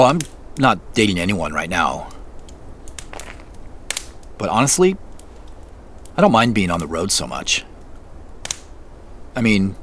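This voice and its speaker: A man's voice: fairly low pitch, a bit bright. An actor playing a wry, wistful, easygoing man who guards his feelings, in naturalistic audio drama dialogue. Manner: easygoing, self-joking, guarded about feelings. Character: wistful, wry man on a road quest, grieving parents. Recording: noticeable outdoor ambient noise.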